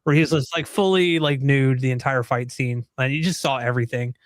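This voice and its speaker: A man's voice: medium pitch, fairly quick, no echo. A man co-hosting a movie podcast, chatty and casual, leaning heavily on "like" and "um". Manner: chatty, heavy on "like" and "um". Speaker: podcast co-host. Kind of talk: casual chat about movies.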